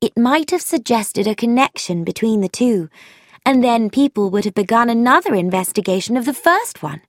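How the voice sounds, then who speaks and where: A woman reading aloud and voicing an eager, quick-witted young woman sleuth, raising her voice higher and speaking emphatically. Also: expressive, no room sound, clean studio sound, close to an everyday voice. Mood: emphatic.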